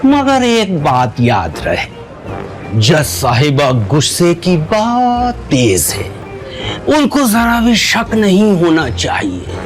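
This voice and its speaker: An actor playing a flamboyant, cheeky hustler of a court fixer who talks in rhyming verse in an audio drama. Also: male voice, high voice, wildly swooping pitch, slow pace, music in the background, heavily acted. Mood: wheeling-dealing. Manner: theatrical, speaks in rhyming couplets. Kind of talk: Hindi audio drama acting, sing-song verse.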